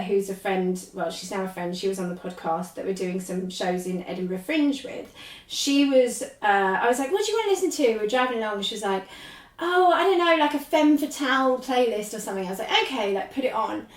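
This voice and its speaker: A woman in her forties with a squeaky speaking voice, chatting as a podcast guest and rambling with lots of laughter. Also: roomy sound, far from the mic. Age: 40s. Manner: rambling, animated, laughs often. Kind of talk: casual chat.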